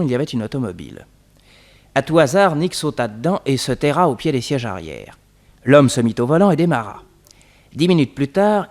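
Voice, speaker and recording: A man's voice: mid-range voice, darkish tone. Male narrator of a vintage radio serial.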